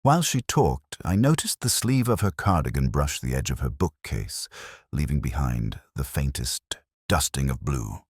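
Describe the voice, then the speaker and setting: A man acts as a brooding male detective telling his own mystery story in a very low, solemn voice. The delivery is measured and reflective, with lively rises and falls in pitch. The sound is dry and close, with no room around it. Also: husky, dry recording, full character voice. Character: brooding, introspective male police detective, first-person narrator. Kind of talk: literary scripted mystery narration.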